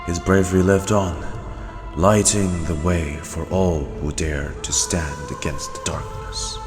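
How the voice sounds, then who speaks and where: Solemn legend narrator, acted by a man: deep, portentous, long reverb, music behind. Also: fairly even intonation. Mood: solemn, dramatic. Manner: slow, dramatic, portentous. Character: solemn deep male storyteller of a tragic legend.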